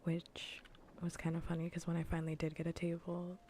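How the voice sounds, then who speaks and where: Casting: female ASMR stream host, whispery and low, even intonation, dryly funny casual rambling, noticeable background noise. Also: a little fast. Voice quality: soft, whispery, breathy. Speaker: livestream host.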